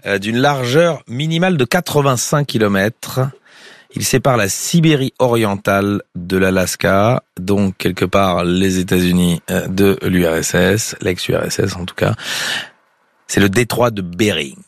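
A man's voice: low voice, a little slow, gravelly, no room sound. A man hosting a radio quiz, playful and teasing with the contestant. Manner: teasing, lively, joking with the contestant.

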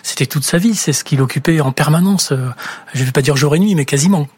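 Man, radio co-host, fairly high pitch, dry studio sound, thoughtful. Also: fast talker, darkish tone, breathy edge, no room sound.

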